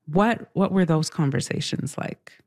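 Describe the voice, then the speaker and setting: Lower-voiced woman interviewer, gently probing. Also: animated, unhurried, gravelly. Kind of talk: conversational interview.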